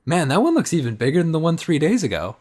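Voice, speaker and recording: Man playing excitable tourist, pitched up high. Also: fast talker. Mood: awed. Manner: earnest, rambling. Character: wide-eyed, excitable American tourist man in Paris.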